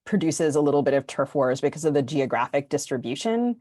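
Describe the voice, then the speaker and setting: A woman's voice: low voice, lively intonation, brisk, mellow, dry recording, clean studio sound. An American woman co-hosting a podcast, talking informally and discursively as she thinks aloud. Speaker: podcast co-host. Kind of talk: casual panel discussion.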